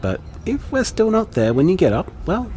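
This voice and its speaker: A man playing an anxious, tender man confiding in voicemails to his partner in an intimate audio drama, hesitant and earnest, with halting phrasing. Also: high voice, wildly swooping pitch, darkish tone, a little hiss or hum. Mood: cheerful, affectionate.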